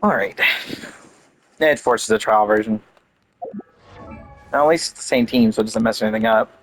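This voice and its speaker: A man's voice: fairly low pitch, normal speed, faint music behind. A man giving casual gameplay commentary, thinking aloud in an offhand way. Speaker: game streamer.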